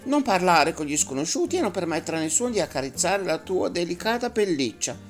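A woman voicing an anxious, doting mother fox who pleads in a high, loud voice. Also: sing-song, brisk, faint music behind. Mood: fussing.

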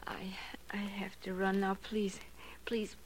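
An actress playing a lonely, devoted, lovestruck woman in a 1940s radio drama, breathless and halting. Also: mid-range voice, level tone, close to an everyday voice. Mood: adoring, wistful. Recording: noisy vintage radio drama recording.

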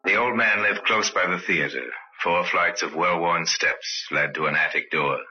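An actor narrating an old radio crime drama as a grave, knowing storyteller, deliberate and ominous in his phrasing. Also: low-pitched, breathy edge, soft background music. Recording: dull vintage radio recording.

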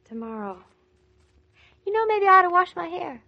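An actress playing an eager, anxious young newlywed wife in 1940s American radio drama, with a gushing, coaxing manner. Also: female voice, very high voice, very animated, moderate pace, vocal fry, dull audio, heavily acted. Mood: hopeful, stubborn. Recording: vintage 1940s radio recording.

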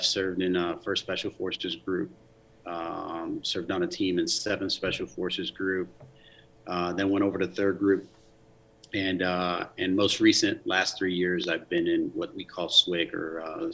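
A man's voice: deep voice, bright, forceful tone, rough recording. A man in his forties from the Dominican Republic, a soldier telling his life story as a guest in a casual interview, with frequent restarts. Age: 40s. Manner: many restarts and fillers, earnest. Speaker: guest, Army command sergeant major.

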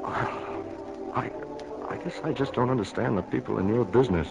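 An actor playing a heartbroken, sullen young farm son in a 1940s radio drama. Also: male voice, medium pitch, normal speed, gravelly, over loud music, natural voice, low-quality recording. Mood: hurt, bitter. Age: young adult.

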